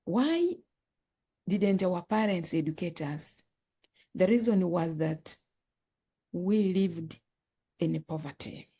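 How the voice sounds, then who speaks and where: A woman from Uganda, telling her life story as a podcast guest, earnest and halting, often repeating words. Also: on the low side, expressive, dark tone, breathy edge. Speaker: guest, author and nonprofit founder.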